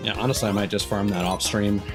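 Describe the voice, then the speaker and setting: A man's voice: lowish voice, steady tone, fast, over music. A man doing casual live gameplay commentary as a streamer, thinking aloud as he plays. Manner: thinks aloud, mild swearing. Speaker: video game live streamer.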